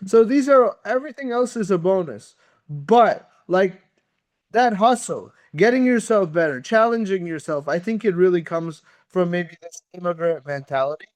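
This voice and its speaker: Podcast guest: man, native Russian speaker, high voice, smooth and clear, dry close sound, earnest motivational delivery. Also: animated, normal speed, no room sound. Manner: earnest, motivational, repeats words when starting sentences. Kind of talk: conversational interview.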